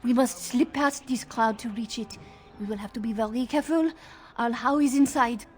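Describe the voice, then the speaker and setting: A woman's voice: on the high side, moderate pace, soft background music, natural voice. A woman playing an anxious, careful servant in a video game. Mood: urgent, worried.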